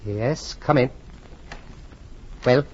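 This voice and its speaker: A tormented gentleman of gothic radio drama. He speaks very slowly, brooding and theatrical, full of dread. The 1940s recording is muffled, with clear noise around the voice. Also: medium pitch. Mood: alarmed. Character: tormented, morbid aristocrat, grieving brother haunted by dread.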